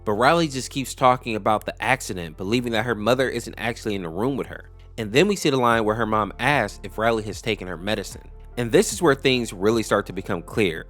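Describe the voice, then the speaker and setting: A man's voice: lowish voice, a bit bright. A man giving a casual explainer narration in a conversational, storytelling way. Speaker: YouTube host explaining animated horror lore. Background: background music.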